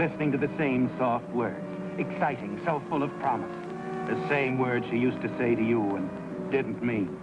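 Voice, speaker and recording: An actor playing a sinister, all-knowing narrator who taunts a wrongdoer in a dramatic, insinuating 1950s suspense style. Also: male voice, medium pitch, close to an everyday voice. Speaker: narrator of a crime anthology show. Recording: muffled old broadcast soundtrack with loud music. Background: dramatic background music.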